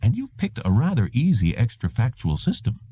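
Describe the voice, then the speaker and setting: A man's voice: mid-range voice, huge pitch swings, brisk, mellow, husky, no echo, pitched above the performer's own voice. A man voicing a patronizing, formally polite salesman in an audiobook, insistent and reassuring. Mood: confident sales pitch. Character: smooth, patronizing salesman of implanted memories, male. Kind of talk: audiobook character voice.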